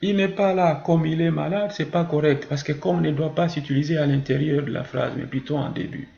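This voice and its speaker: A man's voice: fairly high pitch, steady tone, a little fast, creaky, warm tone. A man teaching a grammar lesson, explaining didactically with examples and answering his own questions. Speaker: French teacher. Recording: muffled, roomy, echoing sound.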